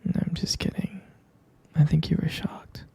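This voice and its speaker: A man playing a doting, teasing vampire boyfriend in an ASMR roleplay, murmuring tender pet names in a breathy, husky, hesitant whisper. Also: moderate pace, warm tone, lightly acted. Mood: fond, teasing.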